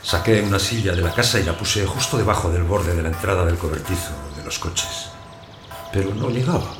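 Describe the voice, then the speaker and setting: An actor playing a lonely abandoned householder, narrating his story in a measured, plain way for an audio drama. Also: low voice, over music. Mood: absorbed, quietly elated. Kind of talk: first-person literary narration in audio fiction. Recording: roomy echo, clear background noise.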